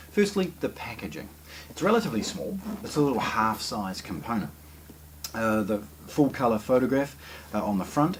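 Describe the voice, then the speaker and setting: A very low-voiced man presents a product in an unscripted, explanatory way. He stresses the starts of phrases and pauses to find words. There is faint noise with small clicks behind him. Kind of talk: unscripted unboxing commentary.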